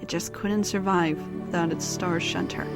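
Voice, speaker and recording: A woman's voice: fairly low pitch, steady tone, normal speed, over music. A woman giving scripted story narration as the narrator of an audio drama.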